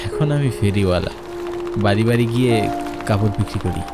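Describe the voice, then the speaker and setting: A man playing an earnest young peddler who narrates a ghostly cartoon horror story in an expressive, dramatic way. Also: on the low side, moderate pace, close to an everyday voice. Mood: friendly, wistful. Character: earnest young male cloth peddler narrating his ghostly ferry journey. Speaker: first-person narrator. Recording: music behind the voice.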